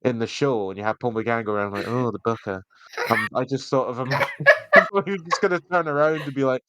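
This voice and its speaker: A man's voice: mid-range voice, a little fast, sharp tone, slight background noise, poor audio. A man co-hosting a podcast, giving reviews in a rambling, jokey, casual way. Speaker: podcast co-host.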